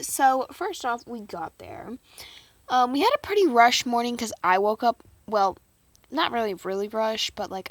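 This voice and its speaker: A teenage girl talks to her camera in a chatty, giggly way, peppering her talk with "like." Her voice is wearing thin and a little hoarse. The sound is dry and close, with a middle pitch. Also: no room sound. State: losing her voice. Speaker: vlogger. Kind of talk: casual chat to camera.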